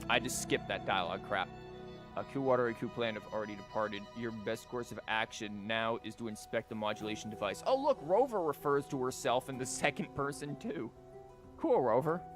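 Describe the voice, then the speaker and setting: A male game streamer talks casually at a middle pitch. He rambles through joking asides and reads on-screen dialogue as he goes. Game music is clearly audible behind his voice. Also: expressive, fairly quick. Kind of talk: casual live game commentary, reading dialogue aloud.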